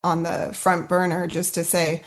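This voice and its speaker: Earnest low-voiced woman musician. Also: completely flat delivery, a little slow. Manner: earnest, lots of "you know" and "like". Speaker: musician panelist. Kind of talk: casual panel answer.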